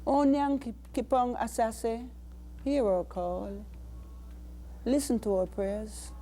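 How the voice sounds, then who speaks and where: A woman with a Jamaican accent reciting spoken-word poetry in a deliberate, dramatic way. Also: a little high, steady tone, warm tone, a little hiss or hum. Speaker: poet.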